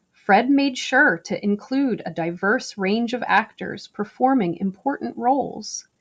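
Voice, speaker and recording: A woman reading a picture book aloud for children, with deliberate phrasing. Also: mid-range voice. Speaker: story reader. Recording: slightly dull, lo-fi recording.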